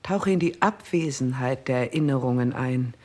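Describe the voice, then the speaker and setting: A woman playing a stern, unyielding queen in a 1960s German radio play, speaking in measured, severe commands. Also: extremely low pitch, a little mellow. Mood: stern urging. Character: stern, unyielding queen coldly guiding her dying husband.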